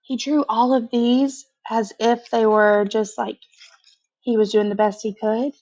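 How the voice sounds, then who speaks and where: A woman with a fairly high voice reads a children's story at a very unhurried pace. Her delivery is expressive and conversational, with casual personal comments mixed in. The recording is slightly dull and lo-fi. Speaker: picture book reader. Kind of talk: children's read-aloud with casual asides.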